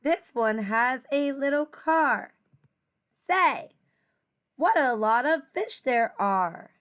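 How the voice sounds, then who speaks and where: A woman with a high voice reads a children's picture book aloud as a teacher would. Her delivery is slow and deliberate, with an expressive, singsong feel for the rhymes.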